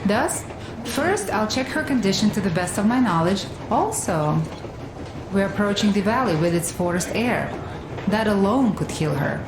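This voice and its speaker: An actress playing a practical, level-headed medic in a video game, talking in a measured, precise and reasoned way. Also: female voice, mid-range voice, big pitch swings, roomy sound, over music, natural voice, poor audio. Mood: calm, reasoning. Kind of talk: dramatic video game voice acting.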